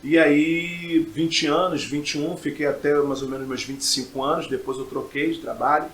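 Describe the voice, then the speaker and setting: Higher-voiced Rio man in a roomy space. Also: steady tone, normal speed, a bit bright. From Brazil. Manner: emphatic, repeats phrases for effect. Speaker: podcast guest, pastor and preacher. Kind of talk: personal testimony in conversation.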